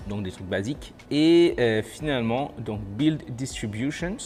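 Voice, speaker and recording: A man presenting a software tutorial in an informal, step-by-step, explanatory way. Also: mid-range voice, lively intonation, unhurried, faint music behind. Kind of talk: casual software tutorial, screen walkthrough.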